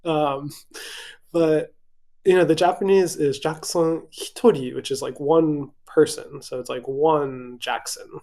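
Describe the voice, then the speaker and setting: A man's voice: fairly high pitch, steady tone, unhurried, darkish tone. A young man from Hawaii, a literary translator speaking casually on a video call, hesitant with many fillers and restarts. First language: English. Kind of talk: casual virtual event conversation.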